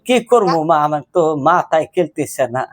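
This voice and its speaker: A man's voice: fairly high pitch, animated, fairly quick, a little hiss or hum, natural voice. A man playing a fretful grandma. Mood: pleading. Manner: pleading, rambling and plaintive. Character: anxious old village grandmother fretting over her grandson. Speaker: prank caller. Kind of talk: prank phone call.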